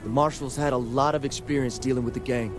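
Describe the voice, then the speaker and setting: An actor voicing a plain-spoken frontier city guard in a video game, folksy yet official. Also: male voice, mid-range voice, steady tone, fast talker, music in the background, natural voice. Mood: dutiful, cautioning.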